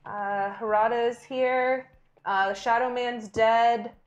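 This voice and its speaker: A high-pitched woman in her twenties playing her hero with playful emphasis. She speaks up firmly to the other players, keeping her tone light. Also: fairly even intonation, slow, dull audio. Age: 20s. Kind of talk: tabletop roleplay.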